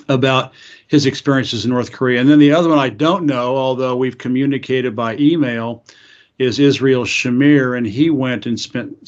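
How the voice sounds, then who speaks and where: A man from Eastern Oklahoma with an American accent, interviewing a guest in a casual, halting conversation full of restarts. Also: mid-range voice. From Eastern Oklahoma, United States. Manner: halting, many restarts, chuckles often. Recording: muffled lo-fi audio.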